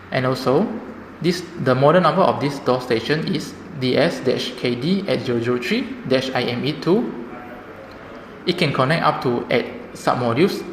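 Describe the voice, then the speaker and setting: A man presenting a product demonstration, explaining things simply, step by step. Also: medium pitch. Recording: echoey reverberant room, background noise.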